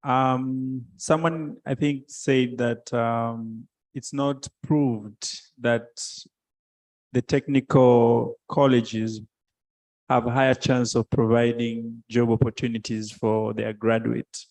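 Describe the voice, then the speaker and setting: A man's voice: medium pitch, flat delivery, takes it slowly, a little mellow, poor audio. A man from Tanzania, speaking informally from the floor of a conference and hesitating with ums. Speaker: conference participant.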